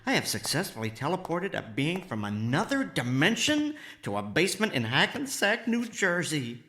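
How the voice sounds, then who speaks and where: Animated, high-voiced excitable inventor. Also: male voice, moderate pace, faint music behind. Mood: amazed, triumphant. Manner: stammering, folksy, exclamatory. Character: excitable, kindly amateur inventor, male former teleportation engineer. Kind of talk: radio drama acting.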